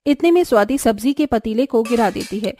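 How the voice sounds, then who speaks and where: High-pitched woman narrating a cartoon story. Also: lively intonation, brisk, warm tone, a little hiss or hum.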